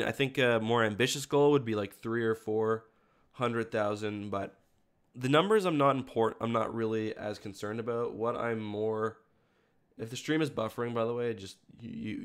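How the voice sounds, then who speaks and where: A man with a fairly low, smooth voice, a little congested from a cold. He talks casually as a streamer addressing his viewers. His phrasing rambles and doubles back as he corrects himself. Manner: rambling, self-correcting, stop-start phrasing. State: has a cold, sniffly congested voice. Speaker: video creator hosting a livestream. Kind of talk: casual livestream chat with viewers.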